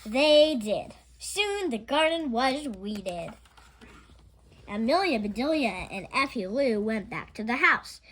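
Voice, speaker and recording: A woman's voice: on the high side, very animated, takes it slowly, a bit bright. A woman narrating a children's storybook expressively as a storytime reader.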